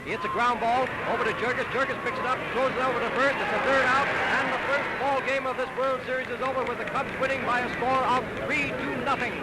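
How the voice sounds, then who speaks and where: A man's voice: very high-pitched, steady tone, fast talker, hardly any pauses. An American man giving emphatic, punchy baseball commentary as a newsreel announcer of the 1930s. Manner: emphatic, declamatory delivery in short punchy phrases. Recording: noisy 1930s optical newsreel soundtrack, crowd roar. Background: stadium crowd noise.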